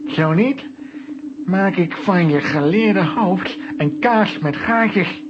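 A man's voice: high-pitched, slow. A man playing a scheming, threatening art dealer in a children's audio play, with a sly, theatrical delivery. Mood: gloating, threatening. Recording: dull, noisy old audio play recording.

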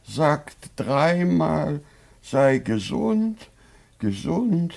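An actor playing a sly rich schemer who feigns sickness, plaintive and theatrical, in a radio play. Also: male voice, a little high, very animated, vocal fry, darkish tone, full character voice. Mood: coaxing. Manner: drawn-out, theatrical, mock-feeble. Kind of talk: classic German radio play acting.

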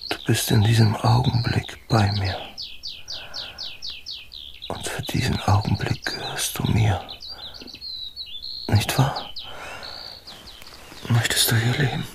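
An actor playing a blunt, reserved but tender lover in a radio drama, speaking tersely and intimately with a gravelly voice. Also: male voice, fairly even intonation, takes it slowly, pauses often, noisy background. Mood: subdued. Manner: terse, intimate, low-key. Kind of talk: naturalistic radio drama dialogue.

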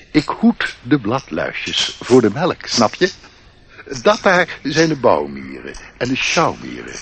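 A proud, warlike old ant in a radio drama, chatty and doddering, forever repeating himself. The voice swings wildly up and down at a middling pitch, with background noise on the recording. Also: male voice. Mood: affable, doddering. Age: older. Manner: doddering, repeats his words. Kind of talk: radio play acting.

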